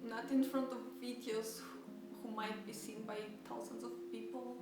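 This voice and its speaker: A woman's voice: a little high, level tone, normal speed. A shy woman from Romania, whose first language is Romanian, speaking English with a Romanian accent and laughing nervously between phrases. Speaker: co-host asking questions. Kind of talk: shy casual talk to camera. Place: at home. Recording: very echoey room, distant mic, loud music. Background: music.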